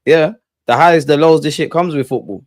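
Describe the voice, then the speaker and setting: A man's voice: fairly high pitch, normal speed, no echo, studio quality. A man chatting casually about football, slangy and upbeat. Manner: laid-back, slangy, upbeat. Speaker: guest commentator.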